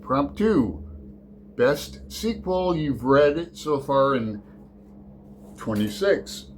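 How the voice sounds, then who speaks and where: A man hosts his own book channel in an unhurried, chatty way. He rambles, often halts mid-thought and starts his sentences over. There is a faint ambient hum behind him. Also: medium pitch, sing-song. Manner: rambling, halting, full of restarts. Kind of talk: casual chat.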